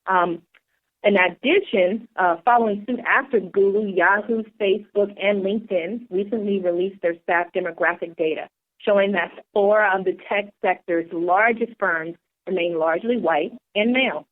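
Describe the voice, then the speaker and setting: Woman co-host, upbeat and eager, mid pitch, tinny phone-like sound. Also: normal speed. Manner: enthusiastic, upbeat delivery. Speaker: podcast co-host. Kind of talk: podcast news segment and guest intro.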